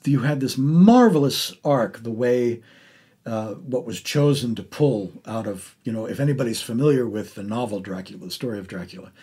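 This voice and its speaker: A middle-aged man hosting an interview in a casual, effusive way, rambling and halting as he talks about the arts. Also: mid-range voice, warm tone, husky. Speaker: podcast host interviewing an actor. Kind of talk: casual, enthusiastic arts conversation.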